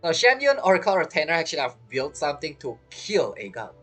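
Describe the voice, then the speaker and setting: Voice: medium pitch, big pitch swings, fairly quick, fairly bright tone. A gamer giving casual gameplay commentary with informal, muttered asides. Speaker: gaming video creator. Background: game music.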